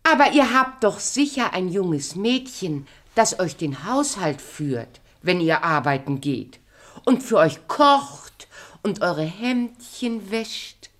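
A woman's voice: medium pitch, expressive, slow pace, a bit bright. A woman playing a wicked queen posing as a sweet, wheedling peddler in a radio play. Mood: sly, wheedling.

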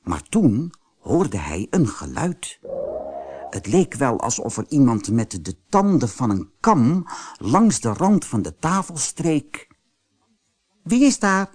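A man narrating a children's fairy tale audiobook as an expressive, scripted storyteller. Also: mid-range voice, slow pace, slightly rough.

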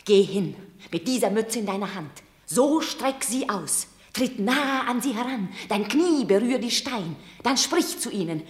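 A commanding, shrewd Roman matriarch in a classic radio play. Her voice is fairly high and very slow, declaiming with urgent, imperious persuasion in a roomy space. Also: expressive. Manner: imperious, pleading stage declamation. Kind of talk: classic German radio drama acting. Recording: roomy radio drama studio sound.

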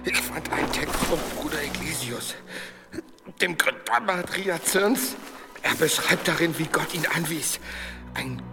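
An actor playing a frightened, sly fat monk who pleads and stammers in an audio drama. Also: male voice, fairly high pitch, a little slow, vocal fry, in a large hall. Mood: nervous, eager. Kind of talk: German audio drama acting. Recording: music behind the voice.

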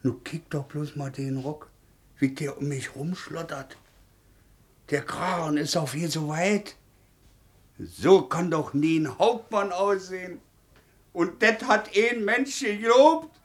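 An actor playing a shabby, ageing ex-convict posing as a captain in a 1950s radio play, plain-spoken and colloquial. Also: male voice, fairly high pitch, takes it slowly. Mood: bewildered, then incredulous.

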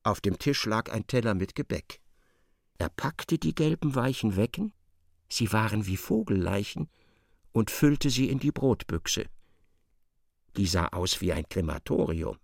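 A man's voice: fairly low pitch, lively intonation, unhurried, gravelly, dry recording, clean studio sound. A man narrating a literary radio play in a dramatic, scripted style.

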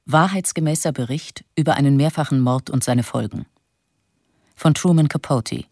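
A man's voice: on the high side, darkish tone. A man announcing a radio play's title and credits in a solemn way. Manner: slow, solemn. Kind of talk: program announcement.

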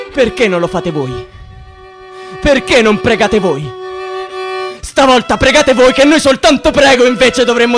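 An actor playing an embittered young student in a radio drama, speaking in solemn, rhetorical, litany-like phrases. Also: male voice, very high voice. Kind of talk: theatrical radio drama monologue, litany-like chanting.

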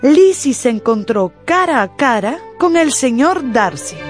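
A woman narrating a radio drama in a scripted, dramatic style, with deliberate, suspenseful phrasing. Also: sits high, sing-song, music in the background.

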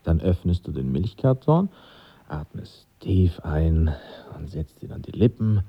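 Wry ladies' man in radio play; very raspy, theatrical storyteller with wide, swooping intonation. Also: low voice, animated, measured pace, heavily acted. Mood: wry, dramatic. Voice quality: gravelly, raspy. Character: wry womanizing male friend telling a bleak parable. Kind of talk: audio drama monologue. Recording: dull studio drama sound.

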